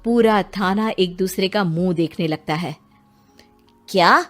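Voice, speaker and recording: A woman's voice: on the low side, moderate pace, darkish tone. A woman narrating a scripted story in a dramatic storytelling style. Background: music.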